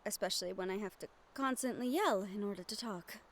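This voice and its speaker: A woman playing a gentle, earnest young magic-wielder in an audio roleplay, soft-spoken and measured with thoughtful pauses. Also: mid-range voice, slight background noise, natural voice. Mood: apologetic, quiet. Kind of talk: audio roleplay acting, addressed to the listener. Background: ambient sound effects.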